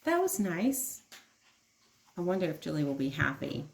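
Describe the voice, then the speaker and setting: A woman preschool teacher giving an expressive read-aloud for young children, adding simple explanations and questions, with emphasis on key words. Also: sits low, moderate pace, creaky, noisy background.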